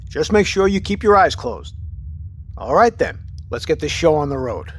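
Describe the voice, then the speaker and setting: A steady military captain in an audio drama, his voice a little high for a man. He speaks in a clipped, commanding way over clear background ambience. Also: moderate pace, slight background noise. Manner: commanding, clipped, matter-of-fact. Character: steady, pragmatic military submarine captain leading a mission.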